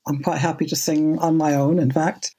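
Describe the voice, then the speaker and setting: A woman in her seventies with a low speaking voice, chatting as a podcast guest in a measured, thoughtful way, often repeating a word as she restarts. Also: little pitch movement, mellow, husky. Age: 70s. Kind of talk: casual podcast interview.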